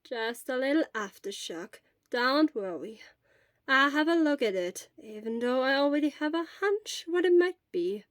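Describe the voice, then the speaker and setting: A kindly elderly female nurse voiced by a woman storyteller, composed and unhurried. Her grandmotherly voice is fairly high and reassuring, with a very dry, close sound. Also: lively intonation, no room sound.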